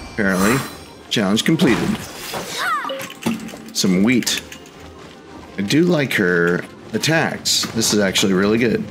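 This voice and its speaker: A man giving casual let's play commentary as a gaming host, talking back to the game's characters. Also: lowish voice. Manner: talks back to game characters.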